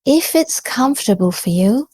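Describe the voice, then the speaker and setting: A woman leading a guided meditation in a gentle, calm and encouraging manner, leaving pauses between phrases. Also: fairly high pitch, dry recording. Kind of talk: guided body scan meditation.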